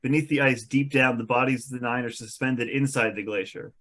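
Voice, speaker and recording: A man's voice: mid-range voice, steady tone, fairly quick, fairly bright tone. A man reading scripted stage directions as narrator at an amateur comedic table read.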